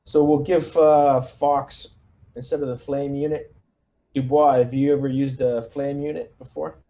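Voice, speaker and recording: A man talking casually through a tabletop roleplaying game, giving squad orders in a halting way. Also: medium pitch, flat delivery, dark tone.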